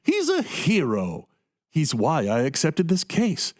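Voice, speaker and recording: A zealous medical researcher played by a male actor in a radio play. His voice sits a little high and swings widely in pitch as he proudly explains his inventions with theatrical emphasis. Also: huge pitch swings, slow, darkish tone, heavily acted. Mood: fervent, persuasive. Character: zealous, boastful male doctor pitching experimental prosthetics.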